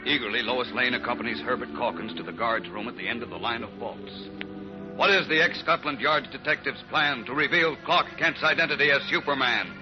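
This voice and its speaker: Male narrator for vintage adventure serial: dramatic, mid-pitched, music behind, muffled recording. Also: a little fast. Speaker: narrator and announcer. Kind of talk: dramatic scripted 1940s radio narration. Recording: muffled old radio recording.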